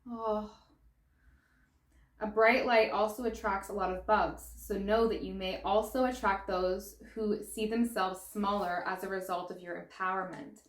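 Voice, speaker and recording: A woman giving a tarot card reading in an excitable, gushing way. She says she is losing her voice. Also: mid-range voice, unhurried, reverberant. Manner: excitable and gushing, exclaiming and laughing. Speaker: tarot reader. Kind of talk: pick-a-pile tarot reading.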